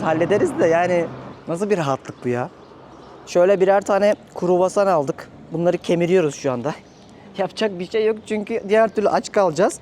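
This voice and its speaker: A man's voice: high voice, a little mellow. A man hosting a casual travel vlog, talking informally and directly to viewers. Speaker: travel vlogger, host. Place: outdoors and on the road. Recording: noisy outdoor audio with traffic ambience.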